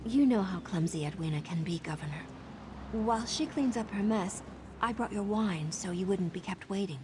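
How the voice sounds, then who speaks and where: A woman voicing a composed female assassin posing as a servant in a video game, silky and deliberate. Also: mid-range voice, noisy background, lightly acted. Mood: falsely deferential. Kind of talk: dramatic video game dialogue.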